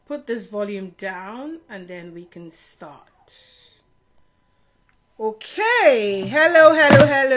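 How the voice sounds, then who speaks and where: Casting: middle-aged Nigerian-born woman, livestream host, mid-pitched voice, informal and chatty, speaking straight to viewers. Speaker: live stream host, HR career adviser. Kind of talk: casual live stream Q&A chat to viewers.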